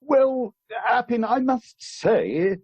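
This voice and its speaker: A man acting a genial, dithering upper-class gentleman in an amateur radio play, hesitant and old-fashioned, over a muffled video call. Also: extremely high pitch, big pitch swings, very slow, husky, dry recording, exaggerated voice. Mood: genial, doubtful.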